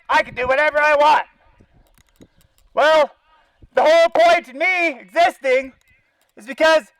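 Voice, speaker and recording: An indignant man with a Canadian accent rants outdoors through a bullhorn. His pitch is extremely high for a man, and the sound is dry, without echo. He is sarcastic, confrontational and freely profane, speaking as an activist calling someone out. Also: fairly bright tone. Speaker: animal-welfare activist. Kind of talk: angry protest rant through a bullhorn. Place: outdoors by a zoo fence.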